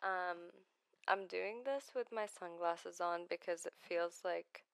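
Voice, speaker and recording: A woman in her twenties from Iraq talks about her life in a personal vlog, gentle and hesitant, with many pauses and restarts. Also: on the low side, gravelly, smooth, dry recording. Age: 20s. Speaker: vlogger.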